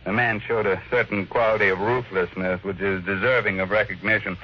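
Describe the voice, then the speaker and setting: An actor playing a ruthless, imperious older millionaire in a 1950s radio drama, brusque and commanding. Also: male voice, on the low side, fairly even intonation, brisk, slight background noise, close to an everyday voice. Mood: cool, unruffled. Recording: lo-fi old radio broadcast.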